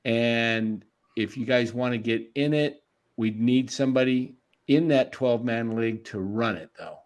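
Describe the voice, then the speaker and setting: A man hosting a live sports stream, his voice sitting at an ordinary middle pitch. He chats loosely with viewers and co-hosts, reading their messages aloud and laughing often. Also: a little slow. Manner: chatty, reads chat aloud, laughs easily.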